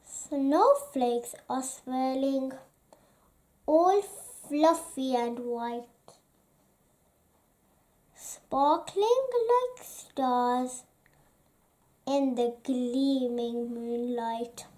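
Female bedtime-book reader, high and mellow, lulling delivery with pauses between phrases. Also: animated. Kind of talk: read-aloud bedtime story narration.

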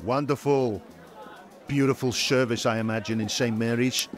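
An actor playing a polite, fussy wedding photographer who hesitates with fillers. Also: male voice, mid-range voice, fairly even intonation, moderate pace, soft background music, close to an everyday voice. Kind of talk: audio drama acting.

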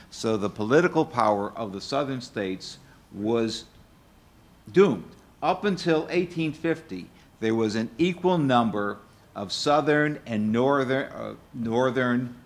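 Older male panelist, medium pitch, unhurried and halting, explains methodically. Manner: hesitant, explanatory, point by point. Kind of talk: extemporaneous panel discussion. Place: auditorium panel table.

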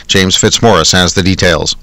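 A man's voice: low voice, steady tone, normal speed, bright, forceful tone, no room sound. A man with a Canadian accent reading a scripted hourly radio newscast as anchor.

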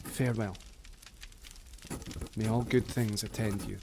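An actor playing a dying Scottish poet, formally and earnestly reciting a letter in a radio drama. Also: male voice, lowish voice, fairly even intonation, background noise. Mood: solemn, resigned. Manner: formal, measured.

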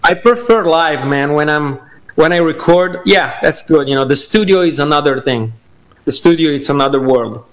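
A man's voice: a little high, moderate pace, a bit bright, rough recording. A young man, a guest rock singer, talking hesitantly with many fillers over a headset on a video call. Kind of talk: casual interview chat. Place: home room.

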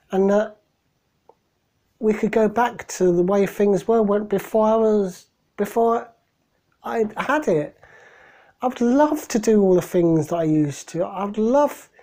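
Man, middle-aged, hoarse with a cold, slow, mellow, halting, home webcam. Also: high-pitched. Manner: halting, emotional, many restarts. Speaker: vlogger. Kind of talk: personal video diary. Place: small room at home, indoors. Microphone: webcam at desk.

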